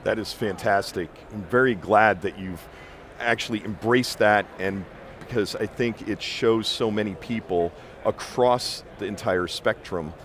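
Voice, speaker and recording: A man's voice: on the low side, a little slow, a bit bright, slight background noise. A man hosting a conference interview, asking friendly, conversational questions with a few restarts. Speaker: interview host.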